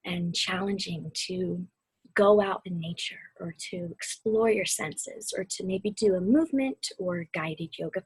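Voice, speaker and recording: Breathy, soothing woman guiding mindfulness. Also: mid-range voice, no echo, low-quality recording. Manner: soothing, unhurried, softly spoken. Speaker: mindfulness guide reading a children's book aloud. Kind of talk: gentle guided meditation and read-aloud.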